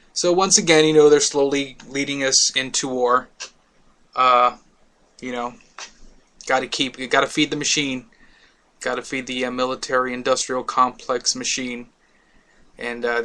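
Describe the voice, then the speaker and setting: A man's voice: mid-range voice, pushed and bright. A man from Miami hosting a casual talk radio show, rambling with many fillers.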